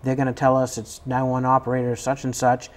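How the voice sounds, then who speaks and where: An American man speaks as an interview guest from a fire service. His voice sits at a medium pitch, and he explains procedures in unscripted, conversational speech. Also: monotone, a little fast. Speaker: fire department staff member, interview guest.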